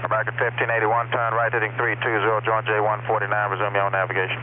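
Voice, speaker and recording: Male departure controller, lowish voice, rapid clipped calls, tinny radio line with hiss. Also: completely flat delivery. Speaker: air traffic departure controller.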